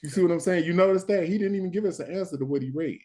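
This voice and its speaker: Halting, tired late-night stream host. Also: male voice, sits high, darkish tone. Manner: halting, many restarts and "hold on". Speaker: stand-in host of a live stream. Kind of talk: casual live-stream talk.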